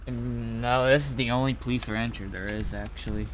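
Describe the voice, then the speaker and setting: A man's voice: mid-range voice, fairly even intonation, moderate pace, a little hiss or hum. A man giving casual gameplay commentary as a streamer, muttering to himself as he thinks aloud. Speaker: gaming streamer.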